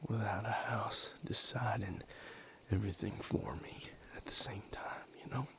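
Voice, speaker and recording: Man acting a low, half-whispering, weary vampire. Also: fairly bright tone. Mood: uncertain, resolving. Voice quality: breathy, gravelly, half-whispered. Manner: halting, reflective, earnest. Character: weary, wounded male vampire healer leaving his house. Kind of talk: intimate audio roleplay monologue.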